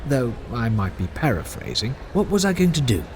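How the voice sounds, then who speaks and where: A man's voice: mid-range voice, huge pitch swings, normal speed, slight background noise. An actor playing an eager, conciliatory male official who speaks earnestly. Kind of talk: audio drama acting. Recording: music bed underneath.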